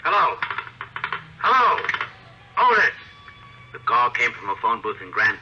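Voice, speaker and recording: An actor playing an angry, impatient police lieutenant in an old radio crime drama. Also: male voice, mid-range voice, wildly swooping pitch, measured pace, music in the background. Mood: angry, urgent. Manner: forceful, gruff, impatient. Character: angry male police lieutenant taking a killer's call. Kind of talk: 1940s-50s radio crime acting. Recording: noisy muffled old radio phone recording.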